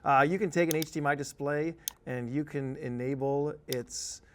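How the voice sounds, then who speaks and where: A man giving a conversational software tutorial as a trainer, explaining each step casually. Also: a little high, flat delivery, normal speed, slight background noise.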